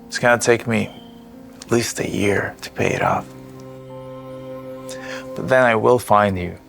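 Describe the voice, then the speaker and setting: Kindly servant, low heavy vocal fry, gentle, protective, cautious, audio drama. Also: male voice, huge pitch swings, a little slow, soft background music, full character voice. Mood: warm, teasing. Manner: gentle, concerned. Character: kind, cautious male servant, cook turned maid, protective friend. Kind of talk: audio drama character acting.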